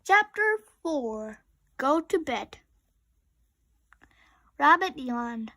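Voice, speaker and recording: A woman's voice: sits high, sing-song, very slow, sharp tone, slight background noise. A woman reading a children's storybook aloud, chatting to young listeners between passages. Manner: asks young listeners questions. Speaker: storybook reader.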